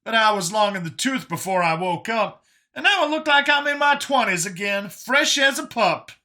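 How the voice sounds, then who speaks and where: A man voicing a folksy, excitable older fugitive who lives in a youthful body, rambling as he talks in an audiobook story. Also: high-pitched, normal speed, voice pushed up, exaggerated voice. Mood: upbeat, chatty.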